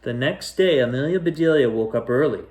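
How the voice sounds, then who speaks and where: A man's voice: mid-range voice, expressive, brisk, darkish tone. A man narrating a children's storybook as a bedtime reader, telling it phrase by phrase.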